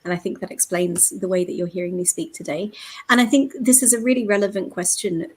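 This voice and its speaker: A woman's voice: mid-range voice, fairly even intonation, brisk, breathy edge. A woman lecturer with an Australian accent shaded by years in Scotland, explaining in an articulate way on a panel. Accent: Australian with Scottish influence. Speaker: linguistics lecturer. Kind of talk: panel talk.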